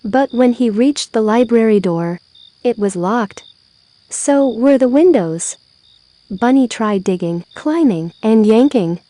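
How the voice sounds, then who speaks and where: A woman reads a children's storybook in an expressive, carefully phrased way. Her voice sits a little high yet has a very mellow, rounded tone. The recording is dry, with hardly any room around her. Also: slow, no echo. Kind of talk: scripted storybook narration read aloud.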